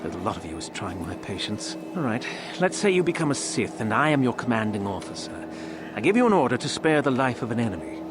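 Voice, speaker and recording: A man's voice: medium pitch, big pitch swings, fast, background noise, lightly acted. A man playing a sadistic, haughty Sith instructor in a video game, mocking and theatrical. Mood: scornful, lecturing. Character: sneering, sadistic Sith instructor, contemptuous bully. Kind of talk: video game villain acting.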